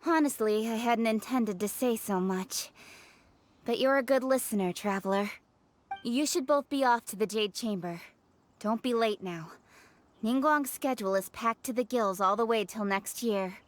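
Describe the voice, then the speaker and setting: Woman playing a proud, high-voiced young official. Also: natural voice. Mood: brisk, courteous. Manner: brisk, formal, self-assured. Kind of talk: video game voice acting. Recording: music behind the voice.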